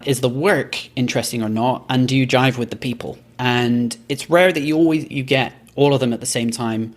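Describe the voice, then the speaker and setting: A man of middle pitch who grew up in Belgium, appearing as a podcast guest. He tells his story at length in a measured, reflective manner. He backtracks now and then to fill in details. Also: steady tone. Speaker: podcast company founder, guest. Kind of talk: casual podcast interview.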